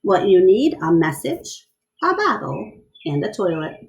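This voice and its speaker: A woman reading a picture book aloud to children in an expressive style, pausing to question and explain things to her young listeners. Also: on the low side, gravelly. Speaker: read-aloud storyteller.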